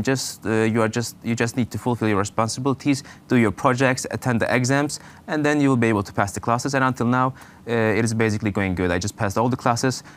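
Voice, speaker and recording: A man in his twenties with a Turkish accent, answering interview questions in a hesitant way, with many fillers and restarts. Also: mid-range voice. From Turkey. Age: 20s. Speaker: guest.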